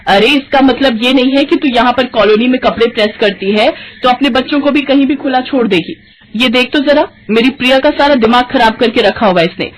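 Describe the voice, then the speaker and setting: A woman's voice: high voice, a little fast, lightly acted, rough recording. A woman voicing a haughty rich mother who scolds in a bossy manner in a moral story. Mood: scolding.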